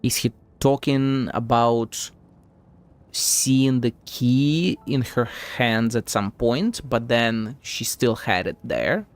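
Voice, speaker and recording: A man's voice: medium pitch, slow pace. A man giving casual live gameplay commentary, sceptical and profane as he thinks aloud. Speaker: game streamer. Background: game music.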